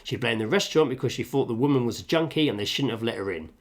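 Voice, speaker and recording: A man's voice: mid-range voice, animated, very fast. A man co-hosting a podcast from a home kitchen, reading a list aloud with dry, sweary asides. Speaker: podcast co-host.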